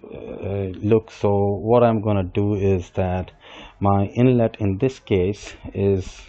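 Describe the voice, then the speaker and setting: A middle-aged man giving a screen-recorded software tutorial, explaining each step as he works and often restarting his sentences. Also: lowish voice, steady tone, slow pace. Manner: explains step by step while working, with small restarts and self-corrections. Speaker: software instructor. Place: desk with computer, voice-over on screen capture. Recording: dull lo-fi voice recording.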